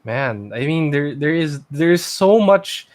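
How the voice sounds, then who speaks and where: A man's voice: on the high side, lively intonation, a little slow. A man co-hosting a podcast, giving exclamatory reactions and opinions in casual banter. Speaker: podcast co-host.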